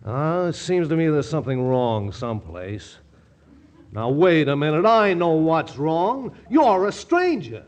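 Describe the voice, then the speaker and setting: An actor playing a brash, dim, jovial young ex-athlete fiancé in a 1940s radio comedy. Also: male voice, fairly high pitch, very animated, measured pace, darkish tone. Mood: suspicious, blustering. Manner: blustery, chuckling at his own wit. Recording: vintage 1940s radio broadcast.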